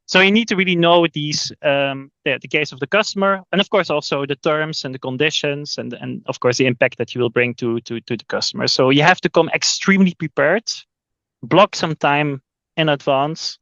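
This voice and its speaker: A man's voice: a little high, moderate pace, a bit bright, no room sound, highs cut off. A man from Belgium, a sales executive talking as a podcast guest, often repeating words as he restarts his sentences. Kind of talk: casual interview talk.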